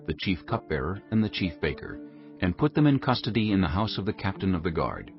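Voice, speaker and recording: A man giving a solemn, measured and dramatic narration of scripture, formal in delivery. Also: low-pitched, muffled recording.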